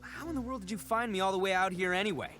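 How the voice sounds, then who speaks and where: A man's voice: high-pitched, rapid-fire, sharp tone, soft background music. A man voicing a brash young male oni gang boss for a video game, with theatrical, slangy bluster. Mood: winded, indignant. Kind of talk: animated video game voice acting.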